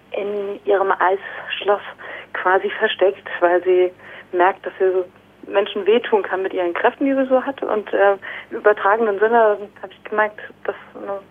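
A woman singer-songwriter talking as an interview guest, hesitant with many fillers and restarts, heard over a phone line. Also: mid-range voice. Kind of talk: casual radio interview by phone.

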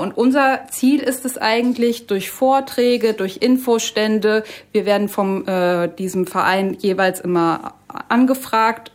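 A woman guest on a radio talk show, explaining her training as a climate guide, with many fillers and restarts. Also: medium pitch.

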